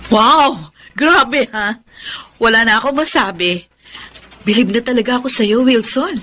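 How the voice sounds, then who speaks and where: A woman's voice: fairly high pitch, measured pace, sharp tone. An actress playing a brash, teasing, greedy female friend in a radio drama. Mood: teasing, gleeful.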